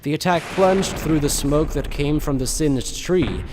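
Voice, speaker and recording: A man's voice: fairly high pitch, measured pace, noisy background. Reverberant male story narrator. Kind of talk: scripted dramatic story narration. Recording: long hall-like reverb.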